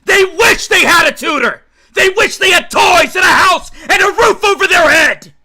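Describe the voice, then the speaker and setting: A man's voice: extremely high pitch, animated, normal speed, bright, forceful tone, raised voice, voice pushed up, exaggerated voice. A man voicing an enraged, abusive father who screams furiously in a story. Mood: raging.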